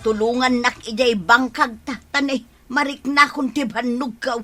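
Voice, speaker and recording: A woman's voice: fairly high pitch, fairly even intonation, moderate pace, pushed and bright, soft background music. A woman playing a long-suffering, hardworking wife, exasperated and pleading, in an Ilocano comedy radio drama, with an Ilocano accent.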